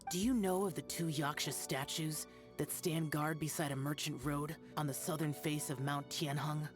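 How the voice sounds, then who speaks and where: A man's voice: on the high side, fairly even intonation, moderate pace, close to an everyday voice. A man playing a stern aloof guardian. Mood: cold. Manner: formal, curt, solemn. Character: stern, aloof young male guardian spirit. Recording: game audio under background music.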